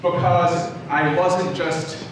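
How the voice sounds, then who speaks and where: A man giving an informal, conversational lecture, often halting and backtracking to rephrase as he tells personal anecdotes. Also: on the high side, level tone. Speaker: lecturer, audio drama writer and producer. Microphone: distant from the speaker. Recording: very echoey room, distant mic, clearly noisy.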